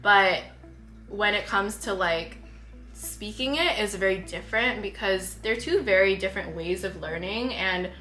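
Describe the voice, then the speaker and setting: A woman's voice: medium pitch, measured pace, sharp tone. A young woman from North America in a casual, chatty vlog conversation with her partner, speaking informally with lots of "like". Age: young adult. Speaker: parenting vlogger. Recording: roomy echo, faint music behind.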